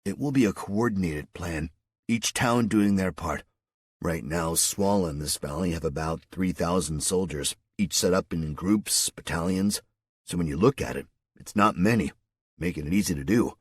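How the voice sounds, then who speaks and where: Man doing a soft-spoken serious older man. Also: deep voice, normal speed, husky, no room sound. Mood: hushed. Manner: soft-spoken, matter-of-fact. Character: quiet, serious older male former teacher.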